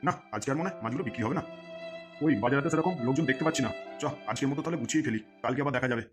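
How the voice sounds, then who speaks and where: A man's voice: medium pitch, very fast, music in the background, lightly acted. A man voicing a hard-up fish seller in a comedy cartoon who broods about money and snaps crudely. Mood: brooding. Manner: brooding, then crude and snappy. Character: hard-up male fish seller, gloomy and short-tempered. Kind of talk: Bengali comedy cartoon dialogue.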